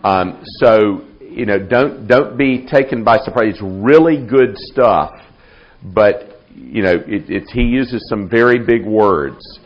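A man, a theology professor, answering audience questions in a live talk, measured and unhurried with many fillers and restarts, with a loud voice. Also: lowish voice, dull audio. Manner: loud projecting voice.

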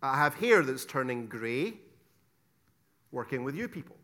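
A middle-aged Scottish man giving a theology lecture, stressing key words loudly, dropping to quiet asides and asking rhetorical questions. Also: medium pitch, big pitch swings, a little slow, slight background noise. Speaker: preacher teaching theology.

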